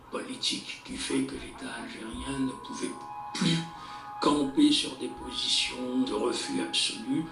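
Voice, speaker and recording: A man answering a radio interview, explaining at length in a measured way with small hesitations. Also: fairly high pitch. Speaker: expert interviewee. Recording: roomy echo from a distance, faint music.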